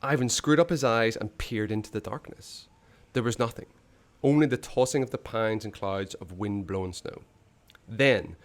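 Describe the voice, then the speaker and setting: A man reading a children's adventure story aloud in a dramatic, suspenseful way, with hushed phrase endings. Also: mid-range voice. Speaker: storybook reader.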